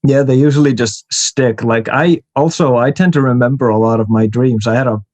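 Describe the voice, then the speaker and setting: A man's voice: medium pitch, a little fast, a little mellow, no room sound. Man, close dry sound. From Iceland. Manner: informal, swears freely. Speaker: recurring podcast guest, horror writer. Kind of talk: casual chat, personal anecdotes.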